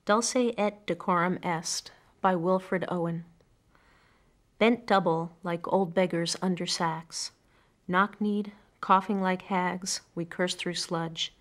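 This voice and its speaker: A middle-aged woman speaking in an earnest, thoughtful way, reflective as she shares personal words or poetry. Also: lowish voice. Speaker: poetry reader telling family memories. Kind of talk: reflective personal storytelling and poem reading. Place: quiet furnished room indoors.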